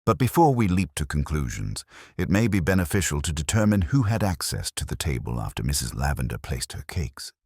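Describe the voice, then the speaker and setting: A man voicing a calm, courteous police inspector in a village mystery story, speaking mildly and formally in a murmur. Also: rumbling low, slightly rough, dry recording, studio quality, heavily acted. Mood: calm, tactful. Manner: mild, unhurried, murmuring, formal.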